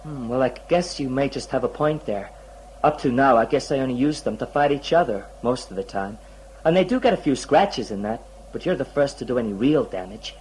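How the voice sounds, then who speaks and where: A man's voice: medium pitch, animated, a little fast, darkish tone, slight background noise, lightly acted, rough recording. An actor playing a smug, gleefully sinister male inventor with folksy, sugary talk in an audio drama. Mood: smug, boastful.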